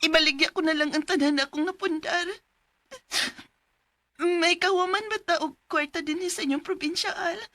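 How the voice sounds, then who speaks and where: An actress playing a worried young wife in a radio drama, coaxing and plaintive, with a Cebuano Filipino accent. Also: female voice, very high-pitched, sharp tone, dry recording.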